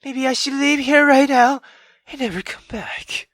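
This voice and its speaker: A woman's voice: high-pitched, fairly even intonation, measured pace, pitched above the performer's own voice. A woman voicing a furious, cruel, bitter mother in a visual novel, scolding and menacing as she rants. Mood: bitter, threatening. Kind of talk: dramatic visual novel reading.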